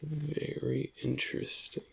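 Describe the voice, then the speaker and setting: Whispering man with heavy gravelly creak. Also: mid-range voice, level tone, poor audio. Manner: slow, hushed, lingering delivery. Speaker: ASMR roleplay performer. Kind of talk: whispered ASMR roleplay.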